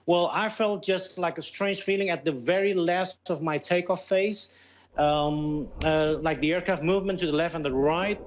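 Higher-pitched Indonesian man on a video call. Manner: halting, searching for words in English. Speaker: airline pilot, interview guest. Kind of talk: live news interview. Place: plain indoor room. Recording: dull, lo-fi Skype call.

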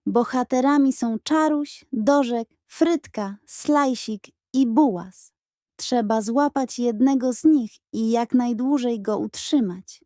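A woman puts on an excitable boy, the bossy older brother in a children's story. The voice is raised in pitch, loud and urgent, full of exclamations, and recorded dry. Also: takes it slowly, no echo. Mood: excited. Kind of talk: children's audiobook character voice.

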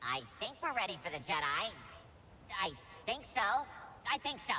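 High-pitched, hapless battle droid. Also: male voice, very animated, moderate pace, loud music behind the voice. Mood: bossy, fussy. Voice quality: nasal robotic voice. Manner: naive, literal-minded, querulous. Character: hapless, anxious, chatty battle droid foot soldier. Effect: robot filter. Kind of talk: video game character dialogue. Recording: noisy game capture, long reverb.

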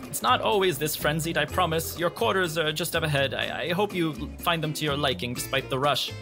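A man's voice: a little high, a little fast, gravelly, music in the background, voice pushed up. A man voicing a flustered, deferential ship's assistant in a tabletop game, speaking in a formal, apologetic manner.

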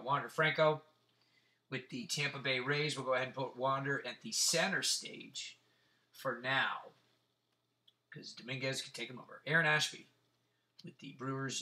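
A man with a raspy, sore throat hosting a casual card-breaking stream, chatting and swearing as he reels off player names. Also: medium pitch, sharp tone. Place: tabletop card-breaking setup indoors.

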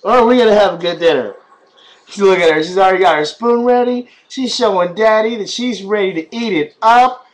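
A man's voice: high voice, brisk, low-quality recording. A man in his thirties, a father talking playfully to his baby while filming a home vlog on a webcam. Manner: animated, singsong baby talk. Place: small room at home, indoors. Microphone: webcam at arm's length.

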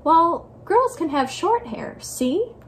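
A woman reading aloud as a gentle, attentive, loving mother in a picture book, with a questioning manner. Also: very high voice, big pitch swings, slow, a little hiss or hum, voice pushed up, heavily acted. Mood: gently questioning.

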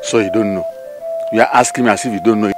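A man's voice: on the low side, steady tone, quick pace, over loud music, close to an everyday voice. A man playing a bitter, scolding older Igbo father in a film drama, with a Nigerian Igbo accent and an emphatic, repetitive way of reproaching. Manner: emphatic, repeats himself reproachfully. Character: bitter, scolding old Igbo father blaming his wife. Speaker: actor.

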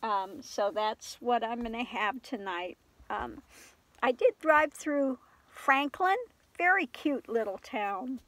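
An older woman chatting casually into her phone inside a camper, stuffed up with a cold and clearing her throat often. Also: a little high, slow pace, no room sound, rough recording. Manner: rambling, frequent throat clearing and soft laughs. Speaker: vlogger. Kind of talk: casual chat to camera. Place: inside a small camper van at night. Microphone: phone camera at arm's length.

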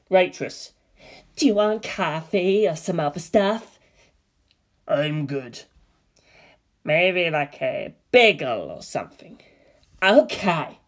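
A man reading a comedy screenplay aloud in a flat, deadpan monotone, announcing scene headings and character names. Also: fairly high pitch. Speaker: reader of a comedy script.

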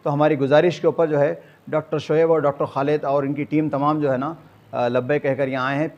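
A man's voice: fairly high pitch, darkish tone, muffled recording. An older man with a Hyderabadi accent, a community organiser making a formal address to camera with repeated filler phrases. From Hyderabad, India. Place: small clinic room, indoors.

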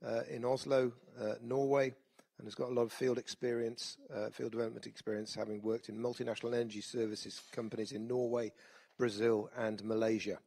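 A man's voice: mid-range voice, flat delivery, a little fast, vocal fry, dark tone. A man chairing a conference session, introducing the next speaker hesitantly with many fillers.

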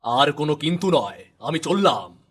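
A man voicing a grave, authoritative king and father in a storybook cartoon, with a solemn, slightly husky voice. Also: on the high side, animated, moderate pace, a bit bright. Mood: grave, fatherly. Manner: commanding, solemn.

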